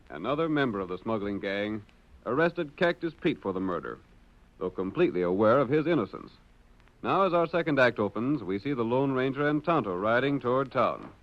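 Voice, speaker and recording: A man with an American accent narrating a radio drama in the formal, articulate style of a 1940s announcer. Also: mid-range voice. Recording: dull lo-fi old radio recording.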